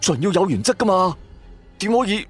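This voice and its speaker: A man's voice: high-pitched, lively intonation, a little fast, soft background music, pitched above the performer's own voice. A man playing a bold, blunt man in his twenties in a Cantonese audio drama, bantering colloquially. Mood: flustered, pleased. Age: 20s.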